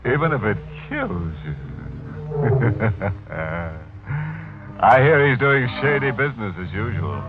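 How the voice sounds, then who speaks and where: An actor playing a gleefully ghoulish horror host of 1940s radio, savoring morbid puns with mock sympathy. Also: male voice, mid-range voice, very animated, takes it slowly. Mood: ghoulish glee. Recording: tinny 1940s radio recording with organ.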